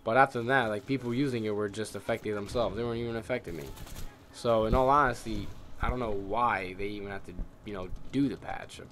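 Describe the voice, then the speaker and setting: A man's voice: on the low side, a little fast, a bit bright. A young man with a tired, scratchy voice, rambling and swearing in casual commentary over his gameplay. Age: young adult. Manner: rambling, ranting, heavy swearing. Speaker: gamer.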